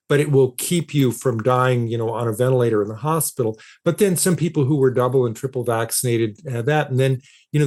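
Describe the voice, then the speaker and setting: A man's voice: medium pitch, a little mellow. A man speaking as an interview guest, explaining ideas conversationally and repeating phrases for emphasis. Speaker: interview guest, philosopher of science and author.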